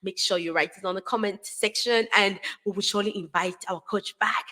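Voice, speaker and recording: A woman's voice: medium pitch, fairly even intonation, brisk, bright, forceful tone. A woman hosting an interview podcast, effusive and repetitive as she addresses guest and listeners. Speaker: podcast host.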